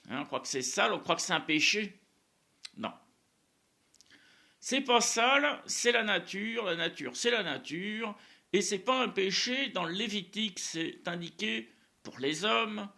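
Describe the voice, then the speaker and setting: A man whose first language is Spanish talks about faith in a loose, rambling monologue, doubling back on words and leaning on little fillers. His voice sits fairly high and swings widely in pitch. It has a gravelly, bright edge. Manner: rambling, repeats words, frequent "hein" and "euh". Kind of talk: casual religious monologue to camera.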